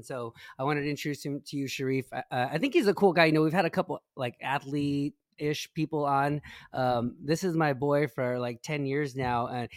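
A man's voice: fairly high pitch, no echo, studio quality. A man co-hosting a podcast in casual, slangy chat peppered with swearing. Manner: slangy, profane. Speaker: podcast co-host.

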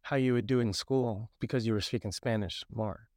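Smooth-voiced man, soft thoughtful podcast host. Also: mid-range voice, steady tone, moderate pace, no echo, clean studio sound. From Puerto Rico. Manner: thoughtful, agreeable, frequent backchannel affirmations. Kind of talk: casual conversation with his sister. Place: parents' house.

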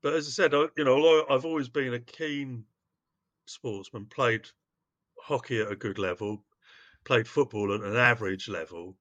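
A man's voice: medium pitch, normal speed, a bit bright. A man from Wales, a guest on a podcast, telling his story in a rambling, hesitant way over a call. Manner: rambling, hesitant, many restarts and fillers. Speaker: podcast guest, news publication chief executive. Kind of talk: casual interview storytelling. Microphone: call audio. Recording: dull lo-fi call audio.

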